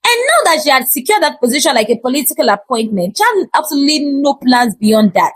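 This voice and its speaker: High, animated woman storyteller. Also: fast talker. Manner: expressive, theatrical storytelling. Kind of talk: scripted folktale narration, dramatic and humorous.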